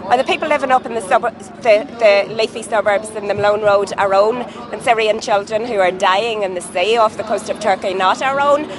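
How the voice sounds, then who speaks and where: A woman's voice: mid-range voice, brisk, few pauses, a crowd in the background. A woman, an activist speaking emphatically in a news interview. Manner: impassioned, emphatic. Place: outdoors at a city rally. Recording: outdoor traffic noise.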